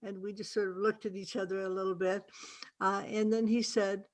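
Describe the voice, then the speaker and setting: A woman author answering questions at a virtual book event over a video call, speaking in a deliberate, emphatic way with pauses. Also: mid-range voice, level tone, a little mellow.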